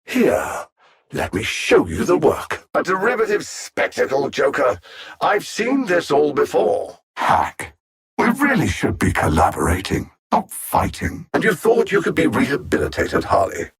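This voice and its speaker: A man voicing a cold, arrogant alien machine-mind villain in a video game, speaking in precise, formal phrases with theatrical condescension and a gravelly, husky edge. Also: a little high, huge pitch swings, measured pace, full character voice. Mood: smug disdain.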